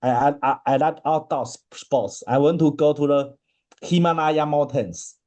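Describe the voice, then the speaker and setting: A man from China with a Chinese accent, a native Chinese speaker, answering interview questions in halting English and often searching for words. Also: on the high side, steady tone, warm tone. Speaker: interview guest, marathon swimmer.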